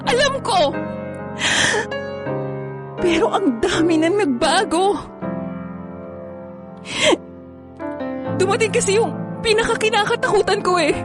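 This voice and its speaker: A woman's voice: very high-pitched, lively intonation, normal speed, long pauses. A woman with a Filipino accent playing an evasive, weary girlfriend in an online radio drama, reluctant and defensive. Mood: sad, resigned. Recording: music bed under the voice.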